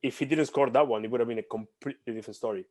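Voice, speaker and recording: Animated Argentinian-born podcast co-host. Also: male voice, medium pitch, very fast, no room sound, studio quality. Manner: animated, repeats himself emphatically. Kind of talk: casual basketball chat. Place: home room, video call. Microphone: headset.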